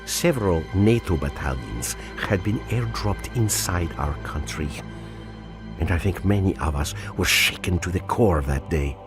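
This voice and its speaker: An actor playing a grave, patriotic Soviet soldier, solemnly narrating war memories in a video game. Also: male voice, low-pitched, lively intonation, measured pace. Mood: solemn, brooding. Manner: solemn, reflective. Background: music.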